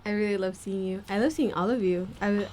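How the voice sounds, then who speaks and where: A woman's voice: mid-range voice, fast talker, faint music behind. A young woman hosting a casual podcast. She asks her guests questions and answers them with affirming, laughing replies. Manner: warm, affirming, laughs often. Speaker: podcast host.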